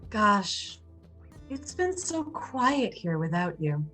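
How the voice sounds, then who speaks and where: A woman's voice: medium pitch, big pitch swings, slow pace, over music. A woman playing a serene, eerie, solicitous lady in a horror roleplaying game, composed and formally gracious. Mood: gracious serenity.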